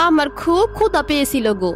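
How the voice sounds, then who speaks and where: A woman's voice: high voice, sing-song, fast talker. A woman voicing an evil female ghost who poses as a village wife, sweet and evasive, in a cartoon with faint music behind. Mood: sly. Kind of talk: cartoon voice acting.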